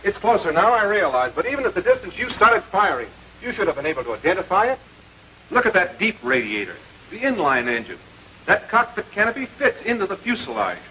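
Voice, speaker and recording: An American actor playing a stern, exacting major with a brisk, authoritative manner in a 1940s military training film. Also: male voice, high-pitched, big pitch swings, pushed and bright, full character voice. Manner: brisk, authoritative, clipped questioning. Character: stern, exacting army air force major instructing pilots. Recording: noisy old 1940s film soundtrack.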